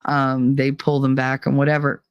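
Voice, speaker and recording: A woman's voice: a bass voice, completely flat delivery, normal speed, clear, resonant tone, dry recording, highs cut off. A middle-aged woman hosting a livestream, reading chat and ranting bluntly, mocking people with laughter and put-on voices. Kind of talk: casual opinionated livestream chat.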